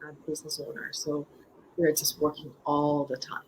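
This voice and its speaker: A woman in her fifties from Japan, speaking English with a Japanese accent as a guest in a casual interview, halting, with many fillers and restarts. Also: low voice, completely flat delivery, slight background noise, rough recording. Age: 50s.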